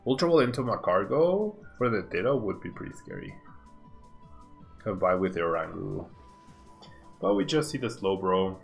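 A man's voice: lowish voice, sing-song, moderate pace, vocal fry, pauses often, soft background music. A man of thirty-one doing casual, slangy livestream game commentary, laid-back and often repeating his phrases. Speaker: game streamer.

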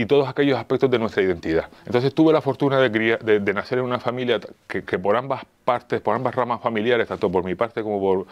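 A fifty-year-old man with a Canarian Spanish accent, explaining things as an interview guest, haltingly, searching for words and repeating phrases. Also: medium pitch, lo-fi sound. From Las Palmas, Canary Islands. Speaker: interview guest, local police officer and heritage enthusiast. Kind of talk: interview answers, explanatory talk.

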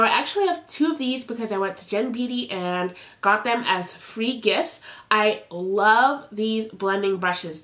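A woman's voice: fairly high pitch, a bit bright. Congested, nasal young woman vlogger. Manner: chatty and upbeat, with fillers like "uh" and "you know". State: congested, nasal from a sinus infection. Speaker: beauty vlogger. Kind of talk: casual product review vlog. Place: indoor home filming setup. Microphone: camera at close range, facing the camera.

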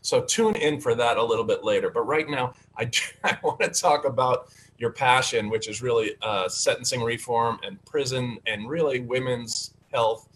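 A man's voice: mid-range voice, normal speed, fairly bright tone, slight background noise. Upbeat chatty man hosting a video call. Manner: upbeat, chatty, with frequent fillers. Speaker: host and candidate. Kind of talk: casual video call talk show. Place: home study with bookshelves. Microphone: video call webcam.

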